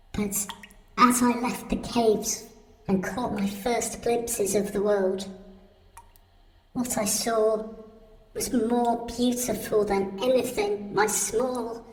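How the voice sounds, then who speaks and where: A man voicing a frail, stunted cave-dweller who tells his story in a measured, wistful radio play style. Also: high-pitched, a little mellow. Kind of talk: slow radio play monologue. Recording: echoey hall, music behind.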